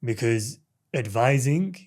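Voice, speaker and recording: A man's voice: medium pitch, lively intonation, slow, a little mellow. A man as a guest in a studio interview, explaining his views patiently and often checking in with "right?". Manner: explains patiently, with many tag questions and fillers. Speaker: recruitment manager, guest. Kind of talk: casual interview chat. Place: podcast studio.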